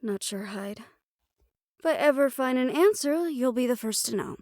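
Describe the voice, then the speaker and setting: A woman playing a calm, protective householder who befriends ghosts, gently firm and reassuring, in an audio roleplay drama. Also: high-pitched, moderate pace, no room sound, clean studio sound. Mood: thoughtful.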